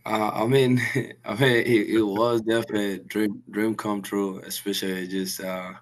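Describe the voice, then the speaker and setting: A young man from Sudan, a college basketball player, answering interview questions softly, with halting restarts and fillers. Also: on the low side, flat delivery. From South Sudan. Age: young adult. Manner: soft-spoken, halting, with many restarts and fillers.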